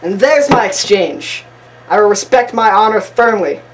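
A woman's voice: medium pitch, lively intonation, normal speed, close to an everyday voice. A woman playing a proud, defiant young male noble in amateur Shakespeare, formal and declamatory, recorded from a distance with noise. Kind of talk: amateur Shakespearean stage acting.

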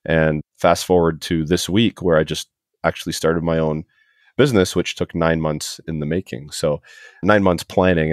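A man's voice: rumbling low, dry recording, clean studio sound. A man in his forties from Canada, casually telling his story as a podcast guest, rambling and self-deprecating. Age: 40s. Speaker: podcast guest, HVAC technician. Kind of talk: casual interview chat.

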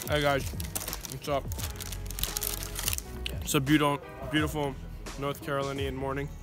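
A man's voice: mid-range voice, monotone, unhurried, over music. A young man trading playful, teasing banter with friends on a phone video. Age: young adult. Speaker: friend on the trip. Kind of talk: casual banter.

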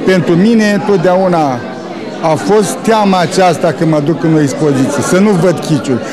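An older Romanian man giving interview commentary in a hesitant, repetitive way into a handheld microphone. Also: high-pitched. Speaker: interviewee at an art exhibition. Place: indoor gallery. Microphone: handheld reporter microphone. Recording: busy crowd chatter behind.

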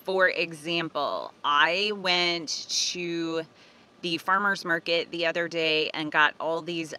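Woman in her forties, bright voice, chatty and animated, casual vlog to a handheld phone, outdoors in a park. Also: sits low. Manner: chatty, animated, laughs at herself. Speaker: family budget vlogger. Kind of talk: casual vlog talk to camera. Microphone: phone held at arm's length.

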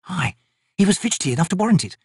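A man voicing a gruff female soldier, brisk and sardonic in a raised voice. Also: high voice, very animated, a little mellow, no echo, clean studio sound, voice pushed up, full character voice.

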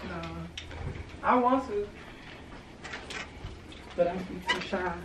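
A young woman from the Chicago suburbs, a guest on a casual vlog, talks softly and shyly with plenty of hesitant fillers inside a small apartment. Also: mid-range voice, slow pace, noisy background. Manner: soft-spoken, shy, hesitant with fillers. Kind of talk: casual chat. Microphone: host's handheld camera.

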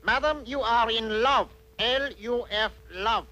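A man's voice: very high voice, slow, sharp tone, exaggerated voice. A man voicing an eccentric cartoon doctor, patronising and bossy as he pronounces his diagnoses. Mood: declarative. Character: brisk, eccentric know-it-all male doctor. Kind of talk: cartoon voice acting.

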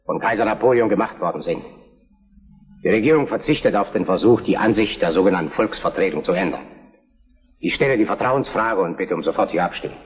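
A man's voice: mid-range voice, in a large hall, close to an everyday voice. A defiant, scornful Prussian head of government as a man acts him. He orates formally and emphatically. The vintage drama soundtrack is dull. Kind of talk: vintage historical drama oratory. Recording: dull old film soundtrack.

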